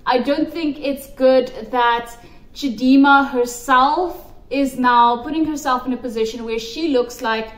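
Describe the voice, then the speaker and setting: A woman's voice: high voice, measured pace. A woman giving emphatic, opinionated commentary to camera, repeating her points for stress. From South Africa. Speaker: pageant commentary vlogger. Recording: roomy echo.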